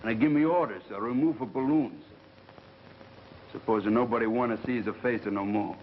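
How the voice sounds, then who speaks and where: A man's voice: medium pitch, brisk, natural voice. Actor as chatty Italian handyman, muffled old film. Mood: earnest. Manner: broken English, eager and simple. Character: humble, chatty immigrant radio-building worker Tony. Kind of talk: 1930s mystery film acting. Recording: muffled, hissy 1930s film soundtrack.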